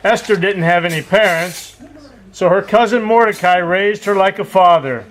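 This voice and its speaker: High-voiced male puppet show narrator. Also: moderate pace, fairly bright tone, rough recording. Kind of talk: storytelling narration.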